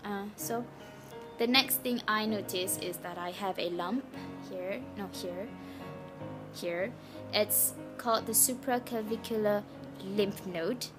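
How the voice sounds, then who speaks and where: A young woman of nineteen with a Malaysian English accent, her first language Malay, chats casually to the camera. She speaks in a mid-range voice, pausing and restarting often, with clear music playing behind her. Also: medium pitch. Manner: hesitant, chatty, apologetic, with many restarts. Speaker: vlogger sharing her cancer story. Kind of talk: casual personal vlog. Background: background music.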